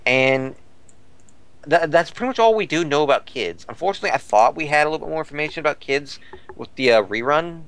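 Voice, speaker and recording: A man's voice: on the high side, expressive, fast, fairly bright tone, clear, resonant tone, no room sound. Man explaining in detail, casual podcast talk. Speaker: podcast panelist. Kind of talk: casual discussion, reporting figures.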